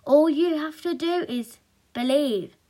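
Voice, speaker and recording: Woman acting a loud, bombastic superhero mum. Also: high-pitched, takes it slowly, voice pushed up. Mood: grandly proud. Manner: bombastic, grand proclamations. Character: boastful mother playing a daring costumed superhero.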